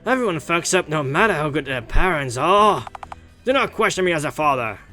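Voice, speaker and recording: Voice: mid-range voice, animated, a little fast, faint music behind. A game streamer voicing an indignant, domineering father from a visual novel. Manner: forceful, indignant.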